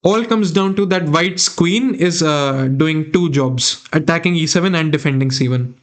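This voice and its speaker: Young male chess streamer, Indian accent, slightly higher voice, musing and chatty, desk mic in a small room. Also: moderate pace. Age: young adult. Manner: thinking aloud, chatting with viewers. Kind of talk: live chess commentary.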